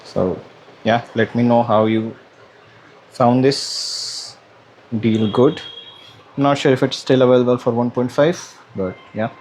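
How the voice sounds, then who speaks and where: Slow-paced man, casual unboxing, noisy background. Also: mid-range voice, steady tone, a little mellow. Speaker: vlogger unboxing a product. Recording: clear background noise, room ambience.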